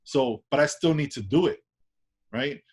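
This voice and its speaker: A man's voice: fairly high pitch, measured pace, slightly rough. A man from Washington Heights with a New York accent, an artist chatting casually in an interview, loose and full of restarts and tag questions. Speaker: artist guest.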